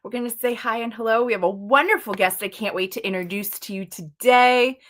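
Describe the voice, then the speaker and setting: A woman's voice: on the high side, fairly quick, fairly bright tone. A woman, hosting a crafts livestream with a bubbly, chatty manner as she welcomes viewers and introduces her guest. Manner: bubbly and chatty, sometimes muttering while setting up. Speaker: livestream host.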